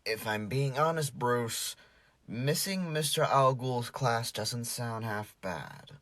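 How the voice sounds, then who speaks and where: A young man playing a troubled, jokey teenage boy in an audio drama, with halting, stammering speech that drops into breathy murmurs. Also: medium pitch, a bit bright, no room sound. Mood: teasing. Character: troubled, jokey teenage boy hiding pain behind mischief.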